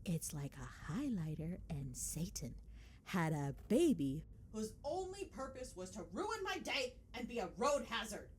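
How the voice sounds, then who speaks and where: Woman doing a gossipy girlfriend on a call, super expressive, takes her time, dramatic complaining, asmr roleplay, bit of noise behind. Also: mid-range voice, a little slow. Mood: mock outrage. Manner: animated, playful, sweary, dramatic griping. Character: chatty, gossipy girlfriend venting on the drive home. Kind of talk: ASMR girlfriend roleplay, casual phone chat.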